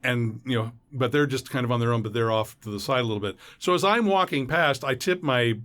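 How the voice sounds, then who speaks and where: Middle-aged man telling animated anecdotes. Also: medium pitch, brisk. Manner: animated storyteller who acts out quotes. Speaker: podcast guest, voice actor and former impersonator. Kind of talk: anecdotal interview chat.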